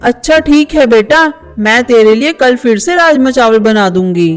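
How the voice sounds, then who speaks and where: High-pitched motherly cartoon bird played by a woman. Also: animated, normal speed, natural voice. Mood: indulgent. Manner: motherly, reassuring. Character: caring, practical mother bird, gently scolding. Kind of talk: Hindi children's cartoon voice.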